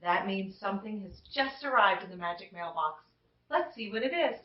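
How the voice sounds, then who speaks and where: A middle-aged woman presenting to young children in a chatty, expressive way, addressing them directly. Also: medium pitch, moderate pace, heavy background noise. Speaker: host of a children's story show. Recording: roomy, distant mic, slightly dull.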